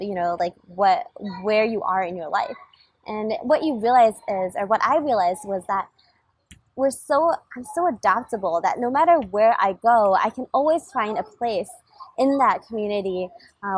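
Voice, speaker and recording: A woman's voice: fairly high pitch, husky, rough recording. A young woman coach talking to her audience in an easy, conversational way. She keeps circling back with fillers and self-corrections as she speaks. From grew up between Germany, Taiwan, Shanghai, Hong Kong and California. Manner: chatty, many fillers and restarts. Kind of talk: casual motivational talk.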